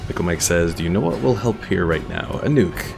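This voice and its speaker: A man's voice: sits low, animated, a little fast, gravelly. A man doing casual, chatty gameplay commentary as a streamer and reading out viewer chat, with game music behind him. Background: video game music.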